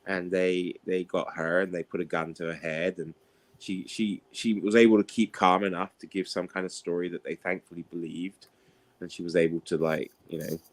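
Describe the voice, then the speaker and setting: Casual male talker, low register, loose anecdotal delivery for an informal book discussion. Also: little pitch movement, normal speed. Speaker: participant in an online book discussion. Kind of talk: casual chat, anecdotal storytelling.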